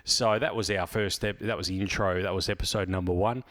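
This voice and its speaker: A man with an Australian accent hosting a casual motoring podcast, talking in a relaxed, rambling way with fillers and restarts, recorded in a small home office. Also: low voice, fairly quick. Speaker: podcast host.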